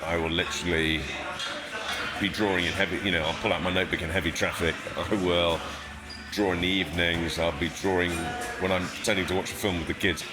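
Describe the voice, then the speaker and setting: A middle-aged man from Sri Lanka, a guest speaking in a thoughtful, modest, low-key way during an interview. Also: level tone, fairly quick, loud music behind the voice. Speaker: guest, surgeon and painter. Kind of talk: reflective interview talk.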